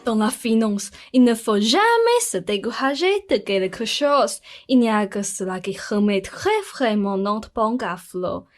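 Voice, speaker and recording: A woman's voice: medium pitch, animated, a little slow, few pauses, soft background music, natural voice. A woman playing a scheming, money-minded middle-aged society mother in a comic radio play, instructing in an affected, insistent way. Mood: coaxing, calculating.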